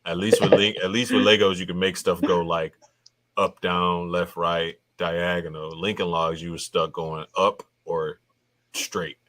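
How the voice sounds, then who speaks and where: A man's voice: deep voice, little pitch movement, measured pace, a bit bright. A man in his thirties hosting a casual podcast chat, laid-back and full of slang, saying "bro" a lot. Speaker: podcast host.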